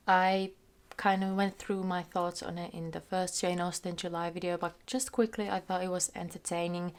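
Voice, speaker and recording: A young woman from Finland, a native Finnish speaker who is sick, chatting loosely to camera about her reading from a small room. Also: lowish voice. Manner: chatty, loose, thinking aloud with fillers. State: sick, slightly hoarse. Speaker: book vlogger. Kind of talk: casual chat to camera. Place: small room in front of a bookshelf. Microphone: camera in front at desk distance.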